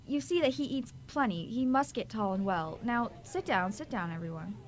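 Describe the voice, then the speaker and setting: A woman playing a caring but fretful, sharp-tongued mother in a radio drama, bustling and emphatic. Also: fairly high pitch, animated, normal speed, close to an everyday voice.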